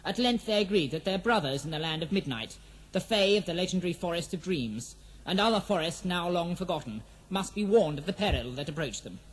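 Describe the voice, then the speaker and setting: A man's voice: high-pitched, animated, brisk, rough recording. A man playing the storyteller of an epic fantasy audio drama, giving formal, dramatic scripted narration. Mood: dramatic, measured.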